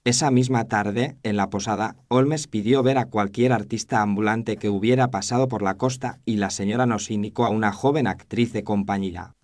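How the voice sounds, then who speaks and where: A man's voice: lowish voice, moderate pace, few pauses, a little mellow, close to an everyday voice. A man narrating as a thoughtful doctor recounting a case in an audio drama, in a reflective, literary manner. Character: thoughtful male doctor narrating his memoir. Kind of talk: scripted narration.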